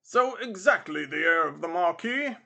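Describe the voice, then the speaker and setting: A man voicing a pompous, flattering military gentleman in a storybook, with emphatic delivery. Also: high voice, moderate pace, gravelly, voice pushed up, heavily acted. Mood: flattering, effusive.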